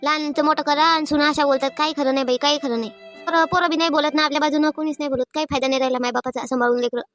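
A woman voicing a sharp-tongued, aggrieved elderly village mother-in-law in a cartoon comedy, scolding and complaining with a rural Maharashtrian accent. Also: high-pitched, steady tone, soft background music. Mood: bitterly aggrieved. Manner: scolding, complaining, rapid-fire. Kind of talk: animated family comedy acting.

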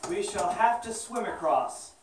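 A woman voicing a steady, encouraging animal friend in a puppet show, speaking reassuringly. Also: mid-range voice, unhurried, a little hiss or hum, close to an everyday voice. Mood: calm. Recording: very echoey room, distant mic, audience chatter.

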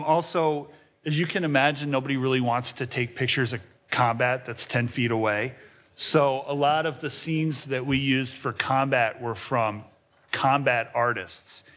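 A man's voice: mid-range voice, fairly bright tone. A man speaking on a panel in an auditorium, giving a talk and answering audience questions with frequent ums and restarts. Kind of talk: lecture and audience Q&A. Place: auditorium stage panel table. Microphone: table microphone.

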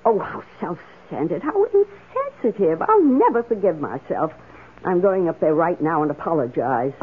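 A woman's voice: fairly low pitch, big pitch swings, normal speed, breathy edge. An actress playing an eager, emotional woman houseguest in an old-time radio drama, with an earnest and dramatic delivery. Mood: anxious, remorseful. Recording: muffled lo-fi radio drama recording.

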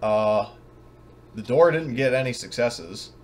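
A man narrating a tabletop game as game master in a casual, halting, self-correcting way. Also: mid-range voice, lively intonation. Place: at home, online voice session. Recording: clearly noisy home recording.

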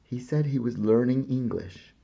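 A man reading a children's picture book aloud in a slow, expressive storytelling manner. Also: medium pitch, creaky, lo-fi sound.

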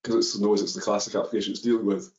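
Low monotone guy doing a live coding walkthrough, lots of ums, echoey dull webinar sound. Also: racing. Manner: explains step by step with many ums and uhs. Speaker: webinar presenter.

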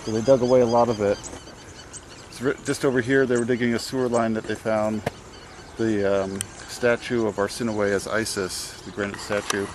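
A man's voice: fairly low pitch, level tone, moderate pace, background noise. A man on an excavation team casually pointing out features of the site outdoors.

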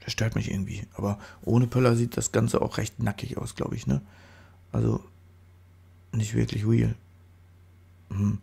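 A man's voice: on the low side, level tone, normal speed, vocal fry, long pauses, dark tone, husky. A man with a stuffy nose from a cold, chatting casually through a photo-editing tutorial voice-over, rambling and correcting himself as he goes. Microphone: studio microphone.

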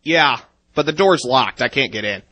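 Man playing a sharp, sarcastic secret agent. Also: medium pitch, expressive, moderate pace. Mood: grumbling, sarcastic. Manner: sarcastic, exasperated. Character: irritable, foul-mouthed young male secret agent.

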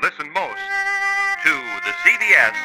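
A man's voice: a little high, animated, moderate pace, over loud music, low-quality recording. A man announcing the credits and promotions of a 1950s radio show in a polished delivery.

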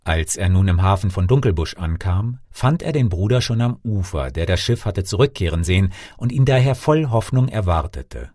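A male storyteller reads a fairy tale with theatrical expression. His pitch moves in lively swings, and the recording is close and dry. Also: moderate pace, few pauses, no echo. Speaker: fairy-tale narrator, actor. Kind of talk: scripted storybook narration.

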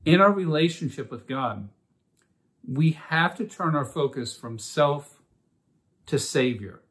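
A man teaching a Bible study in an earnest, measured way, opening with a prayer and reading scripture passages aloud. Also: on the high side, lively intonation, fairly bright tone.

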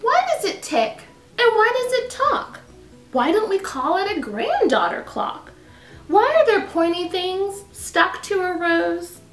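A woman's voice: very high voice, very animated, a bit bright, soft background music, voice pushed up, heavily acted. A woman voicing an eager, insistently curious three-year-old girl in a children's storybook. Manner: eager, rapid-fire questioning. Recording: echoey room.

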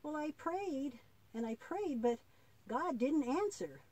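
A woman's voice: high-pitched, lively intonation, slow pace, a little mellow. A woman voicing an earnest, doubting young boy in a children's puppet play. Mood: disappointed, questioning.

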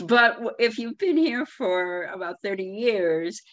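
A woman's voice: medium pitch, lively intonation, slow, fairly bright tone. An older woman from Chicago asking a friendly question on a video call, with light laughs. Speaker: audience member asking a question. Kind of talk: casual discussion.

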